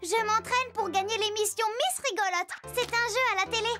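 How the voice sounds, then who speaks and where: Very high-voiced, bubbly little girl. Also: a little fast, loud music behind the voice. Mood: playful, chatty. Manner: chatty, excitable, addresses the listener. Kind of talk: French children's cartoon narration.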